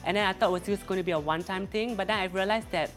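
A man's voice: high voice, racing, fairly bright tone, soft background music. A young man from Singapore, a guest chatting casually and laughing as he talks, with many restarts and fillers. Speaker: guest, social media creator. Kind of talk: casual chat.

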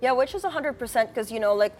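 A woman's voice: on the high side, expressive, fast talker, darkish tone, faint music behind. A woman interviewer asking questions in a casual, enthusiastic way.

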